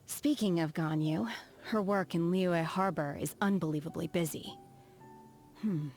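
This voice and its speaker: A woman voicing an aloof, soft-spoken young apprentice of immortals in a video game, measured, reserved and formal. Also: lowish voice, natural voice. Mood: calm, softly interested. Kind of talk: scripted video game character voice lines. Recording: music behind the voice.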